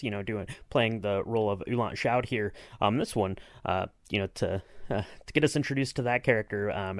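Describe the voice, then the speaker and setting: A man co-hosting a podcast, speaking casually and a little haltingly. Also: fairly low pitch, no room sound, clean studio sound. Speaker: podcast co-host. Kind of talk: casual chat, interviewing.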